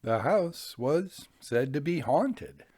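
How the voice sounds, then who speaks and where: A man hosting a storytelling show, reading old ghost stories aloud in an expressive, dramatic narrating style. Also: on the high side, takes it slowly, slightly rough.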